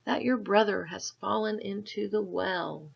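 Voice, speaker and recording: A woman's voice: medium pitch, lively intonation, a little slow, dull audio. A woman reading aloud and voicing a stern, impatient mother who scolds her son. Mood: alarmed. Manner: haughty, scolding.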